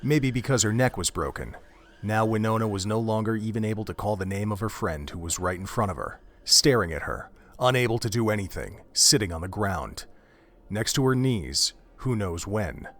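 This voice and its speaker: A man narrating a gruesome horror audio drama in a measured, dramatic storytelling manner. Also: on the low side, lively intonation, a bit bright.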